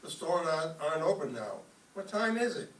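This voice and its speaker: A grumbling, wheedling husband played by a man in an old-time radio comedy, with a slightly high voice. He wisecracks and exclaims theatrically, heard from a distance in a roomy space. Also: very animated, moderate pace. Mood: impatient. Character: bickering, grumbling, tightfisted husband, excitable and wheedling. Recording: roomy, distant mic with background noise.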